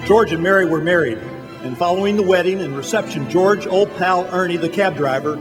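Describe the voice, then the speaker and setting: A man acts as the narrator of a radio play. He delivers scripted storytelling in a high voice with music playing behind him. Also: a little fast, lightly acted. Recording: music behind, stage recording.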